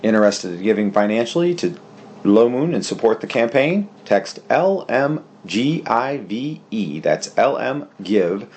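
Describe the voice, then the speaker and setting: A man's voice: medium pitch, very animated, unhurried, darkish tone, a little hiss or hum. A man reading a promotional announcement as an announcer for a donation appeal.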